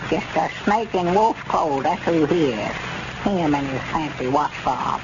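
An actor playing a naive, cantankerous old storekeeper in a 1930s radio comedy serial, with a rural Arkansas accent and folksy, rambling speech. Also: male voice, a little high, expressive, measured pace. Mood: indignant. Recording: noisy, muffled 1930s radio recording.

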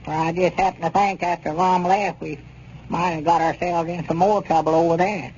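A man playing a folksy, excitable country storekeeper with a rural Southern accent in a 1930s radio comedy serial. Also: on the high side, moderate pace, lightly acted. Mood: relieved. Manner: rustic, drawling folksy talk. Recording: dull, noisy old 1930s radio recording.

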